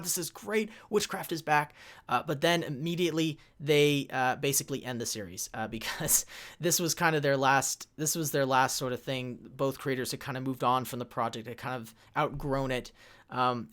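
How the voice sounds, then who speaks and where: A man's voice: mid-range voice, a bit bright. Man giving an informal panel presentation.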